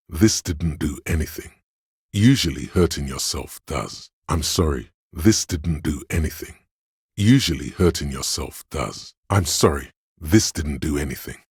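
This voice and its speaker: Super deep gravelly-husky male voice of pain for a video game, brooding, poetic, goading, slow menace. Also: darkish tone, no echo, studio quality, exaggerated voice. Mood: flat, prodding. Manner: brooding, deliberate, goading, theatrical. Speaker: video game narrator voicing a character's inner thought. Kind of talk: dramatic second-person game narration.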